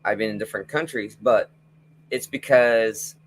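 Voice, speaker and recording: A man's voice: lowish voice, normal speed. A man with a Filipino accent whose first language is Kapampangan, talking casually as an interview guest on a video call. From Philippines.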